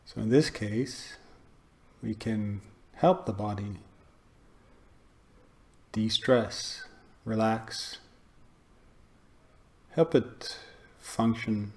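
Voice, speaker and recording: A man guiding a meditation, speaking in short phrases with pauses between them. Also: fairly low pitch, darkish tone. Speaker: meditation teacher. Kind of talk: guided meditation talk.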